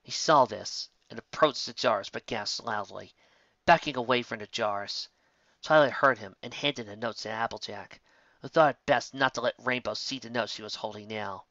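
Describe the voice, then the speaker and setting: A man's voice: medium pitch, moderate pace, sharp tone, no room sound. A man reading scripted fiction as a story narrator. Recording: slightly dull, close dry recording.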